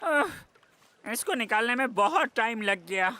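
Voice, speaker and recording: A man voicing a practical young fishing-boat worker in an animated children's cartoon dub. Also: very high voice, measured pace, heavily acted.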